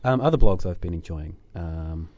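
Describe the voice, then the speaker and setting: Low-voiced New Zealand man chatting. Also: lively intonation, moderate pace, warm tone, highs cut off. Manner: chatty, self-deprecating, lots of fillers and restarts. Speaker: podcast host. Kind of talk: casual chat. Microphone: desk microphone.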